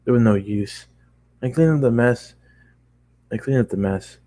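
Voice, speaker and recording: A man's voice: mid-range voice, moderate pace, warm tone. A man narrating a first-person story as an amateur reader.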